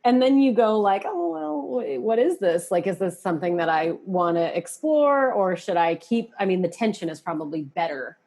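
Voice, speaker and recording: A woman hosting a podcast in casual chat, animated and confiding, often acting out her inner thoughts as she talks. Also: mid-range voice, normal speed, hardly any pauses. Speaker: podcast host.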